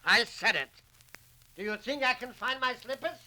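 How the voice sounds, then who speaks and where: A man's voice: very high voice, expressive, normal speed, sharp tone, slight background noise, exaggerated voice. A man playing an exasperated, grumbling immigrant husband with a New York Yiddish accent, emphatic and curt, in 1940s radio drama style. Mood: exasperated, scolding.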